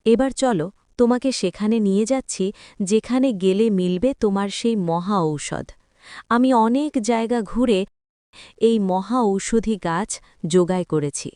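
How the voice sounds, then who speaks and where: Cartoon fairy played by a woman: gentle, coaxing, crystal-clear tone, close dry sound. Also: mid-range voice, moderate pace, dry recording, close to an everyday voice. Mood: approving, gentle. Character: kindly magical cave fairy, female, testing with treasure. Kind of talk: scripted fairy-tale cartoon dialogue.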